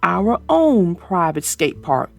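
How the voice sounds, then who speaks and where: Swaggering young male bully grizzly for a storybook, voiced by a woman; slow, taunting, with a creaky edge. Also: fairly low pitch, very animated, faint music behind, full character voice. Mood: smug.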